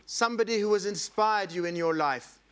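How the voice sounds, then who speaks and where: A man's voice: high-pitched, fairly quick, fairly bright tone, clear, resonant tone. Playful quiz show host, a man. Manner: playful, teasing banter. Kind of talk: scripted narration and quizmaster questions.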